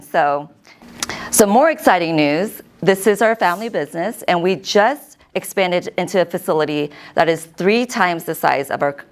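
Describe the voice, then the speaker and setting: An American woman in her thirties, a host giving a lively personal talk to an audience and often quoting herself. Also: lowish voice. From United States. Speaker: host and keynote speaker.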